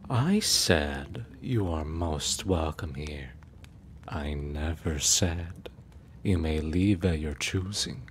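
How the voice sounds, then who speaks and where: Man acting a very deep, suave immortal prince. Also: slight background noise, heavily acted. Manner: formal, courtly, slow and teasing. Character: suave, menacing immortal prince, courtly and possessive. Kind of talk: ASMR roleplay acting.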